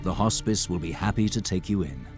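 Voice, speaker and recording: A man's voice: sits low, brisk, music in the background, lightly acted. An actor, a man, playing an earnest, courteous leader in a fantasy video game, with formal speech. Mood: grateful, formal. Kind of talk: video game cutscene acting.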